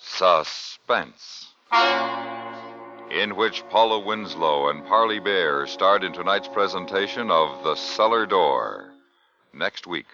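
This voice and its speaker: A man's voice: low-pitched, bright, forceful tone. A man announcing a radio show in scripted 1950s style. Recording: dull vintage radio recording.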